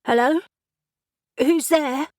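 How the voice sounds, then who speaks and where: A woman's voice: on the high side, very animated, takes it slowly, no echo, studio quality, voice pushed up, full character voice. A woman voicing a confused, frightened young woman locked in a cell, in a storybook reading. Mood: anxious.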